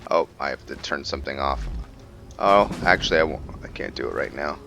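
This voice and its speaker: A man hosting a gameplay video with casual roleplay commentary, thinking aloud in a halting way. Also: a bass voice, gravelly, background noise.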